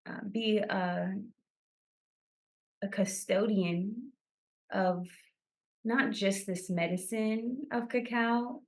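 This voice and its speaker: A woman podcast guest speaking in a soothing, deliberate way, as if guiding listeners through a meditative blessing. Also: on the low side. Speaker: podcast guest, cacao business founder.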